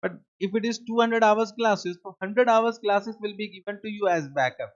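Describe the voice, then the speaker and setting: A man's voice: high voice, moderate pace. A man with an Indian English accent, a native Hindi speaker, gives a classroom lecture. He often checks in with his students and repeats his key points. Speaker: teacher. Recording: dull lo-fi lecture recording.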